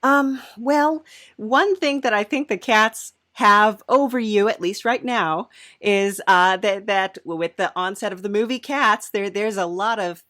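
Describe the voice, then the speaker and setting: A woman's voice: medium pitch, unhurried, pushed and bright. A woman hosting an improvised comedy interview, speaking hesitantly in trailing phrases. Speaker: podcast host.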